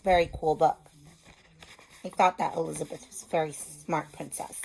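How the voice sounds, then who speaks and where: A woman's voice: fairly high pitch, a little mellow, poor audio. A woman teacher reading aloud and explaining a simple lesson to young children step by step. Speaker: elementary school teacher.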